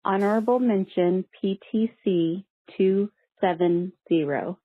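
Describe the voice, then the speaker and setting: A woman reads a list slowly as a voicemail caller. Her mid-pitched, husky voice comes over a thin, tinny phone connection. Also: dry recording. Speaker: hotline caller.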